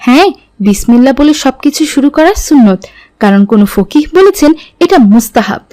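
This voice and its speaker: A woman playing a devout, gentle mother in an Islamic cartoon, speaking in a measured, instructive way. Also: high voice, big pitch swings. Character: devout, gentle mother guiding her children.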